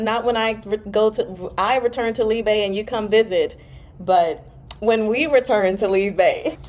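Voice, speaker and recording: A woman hosting a read-along, narrating a novel and adding chatty, excitable asides. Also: on the high side. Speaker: live read-along host.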